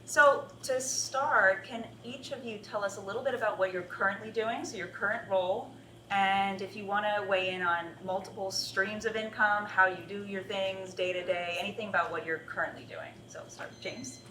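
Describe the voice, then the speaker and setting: A woman's voice: mid-range voice, fairly even intonation, moderate pace, sharp tone. A woman moderating a panel discussion, guiding speakers with direct questions. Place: event hall with audience. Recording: echoey hall, distant mic, faint hiss.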